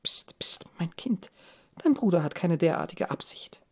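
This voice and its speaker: A doting middle-aged mother voiced by a female reader in an audiobook. She sounds earnest and motherly, gentle and easily astonished. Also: medium pitch, expressive, unhurried, vocal fry, husky, voice pushed up, full character voice. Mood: hushed reproach. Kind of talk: audiobook character dialogue.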